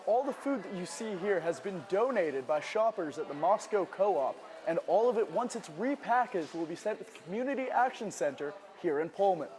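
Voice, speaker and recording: A man's voice: sits high, darkish tone. A young man reporting as a student TV journalist, reading scripted news with an emphatic broadcast delivery. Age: young adult.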